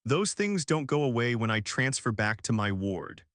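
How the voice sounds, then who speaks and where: A calm, kind woman nurse, as a male narrator puts her on, talks in plain, reassuring words. The pitch moves easily. The sound is dry and close to the mic. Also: moderate pace, no room sound. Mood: steady.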